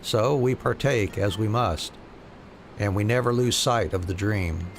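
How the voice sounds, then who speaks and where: Man playing weary resistance fighter, gravelly edge. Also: on the low side, a little slow, slight background noise. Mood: earnest, weary. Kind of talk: reading fantasy game dialogue aloud.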